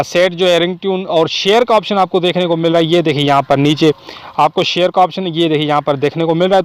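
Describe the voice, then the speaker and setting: Male tutorial host, pitched a bit high, close dry sound, casual explanatory delivery that repeats itself. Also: clean tone, no echo. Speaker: host of a phone tips video channel. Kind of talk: casual app tutorial to camera and screen.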